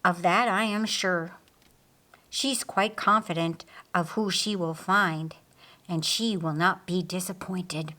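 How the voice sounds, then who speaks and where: A woman narrator voicing a calm, confident, reflective man in an audiobook story. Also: fairly low pitch, takes it slowly. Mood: assured.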